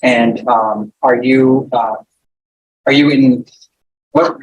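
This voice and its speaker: A man's voice: medium pitch, steady tone, slow pace, lo-fi sound. A man questioning a witness in court as prosecutor, hesitant with many fillers.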